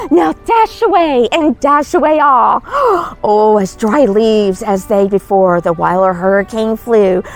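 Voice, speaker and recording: A woman plays a kindly Christmas storyteller with a high, very lively voice. She reads a storybook to children full of wonder and exclamation, with music playing behind her. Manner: exclamatory, wondering, gasps and oohs. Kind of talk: animated storybook reading to children.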